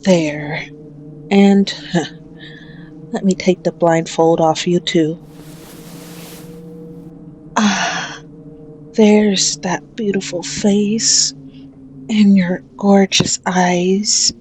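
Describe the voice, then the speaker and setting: A woman performs a sadistic female mafia boss who toys with a captive in an ASMR roleplay. Her voice is dark and soft-edged, and she draws out each mocking phrase at a crawl. A faint noise sits behind the voice. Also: steady tone. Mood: coaxing, possessive. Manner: slow, taunting, drawn-out with frequent low chuckles.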